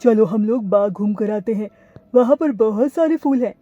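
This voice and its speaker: Woman as a mellow friendly cartoon parrot. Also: a little high, normal speed, no echo, muffled recording, faint music behind, pitched below the performer's own voice, close to an everyday voice.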